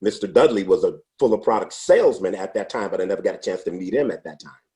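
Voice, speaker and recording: A man in his sixties, a podcast interview guest, telling his life story in an unhurried, reflective way with frequent restarts. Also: low voice, animated, warm tone. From United States. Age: 60s. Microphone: podcast microphone.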